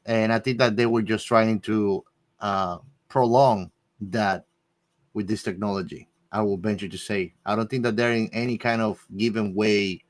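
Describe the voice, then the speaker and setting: A man's voice: lowish voice, steady tone, normal speed. A man speculating in a casual panel discussion, often searching for the right words. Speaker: podcast panelist.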